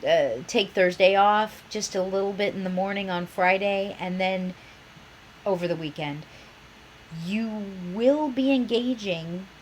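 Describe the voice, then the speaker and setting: A woman's voice: medium pitch, slight background noise, poor audio. A woman professor with a cold and a slightly nasal voice, giving a chatty, enthusiastic course introduction. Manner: chatty, enthusiastic, self-described geek.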